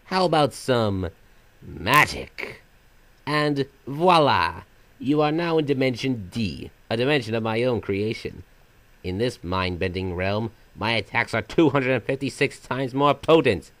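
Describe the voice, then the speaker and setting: Guy doing a silly sinister magic jester-type game villain, super swoopy pitch, mock polite, real dry close recording. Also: expressive, a little slow, fairly bright tone, no room sound. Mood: gloating menace. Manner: theatrical, florid, mock-polite. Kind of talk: fan voice-over of video game villain lines.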